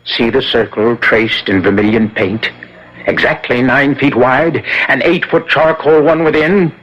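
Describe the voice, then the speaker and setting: A man's voice: mid-range voice, steady tone, a little slow, a bit bright, slightly rough. A man playing a bitter, unhinged young devil worshipper in a theatrical radio drama style. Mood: fervent, commanding. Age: young adult. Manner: theatrical, chanting incantations and cynical declarations. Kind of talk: 1970s radio drama acting. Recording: dull, lightly noisy old radio recording.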